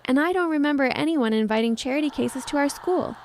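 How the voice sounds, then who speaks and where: A woman's voice: sits high, fairly quick, a little hiss or hum, pitched above the performer's own voice. Woman voicing a haughty, sweetly cutting teenage mean girl. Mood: sneering contempt. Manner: honey-sweet but cutting.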